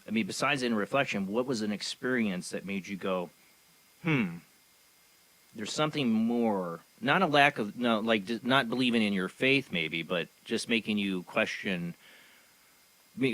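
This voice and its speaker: Bright, dry-voiced radio host. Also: male voice, fairly low pitch, no room sound, poor audio. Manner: rambling, hedging questions with many "you know"s. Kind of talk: casual interview hosting.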